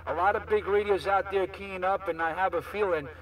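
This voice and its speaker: Guy on cb radio rambling in slang, kinda high voice, sounds far off. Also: steady tone, a little fast, a little hiss or hum. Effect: CB radio transmission. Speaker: CB radio operator. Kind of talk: casual CB radio chat. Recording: distant-sounding CB radio reception.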